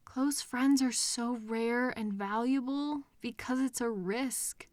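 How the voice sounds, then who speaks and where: A caring girlfriend acted by a woman speaks slowly and tenderly to her upset partner in a comfort audio roleplay. Her voice sits fairly high, expressive and intimate, very close and dry on the mic. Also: dry recording. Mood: gentle, reassuring. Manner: tender, expressive, with soft pauses and questions. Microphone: close microphone in a dry room.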